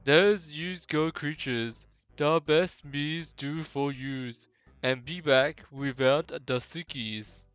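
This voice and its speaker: A man's voice: fairly high pitch, a bit bright, clean tone, dry recording, lo-fi sound, soft background music, pitched above the performer's own voice. A man voicing a simple-minded, earnest ogre in a video game, warning people in childlike broken English. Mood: earnest, concerned. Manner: slow, childlike broken grammar. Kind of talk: hobby game voice acting.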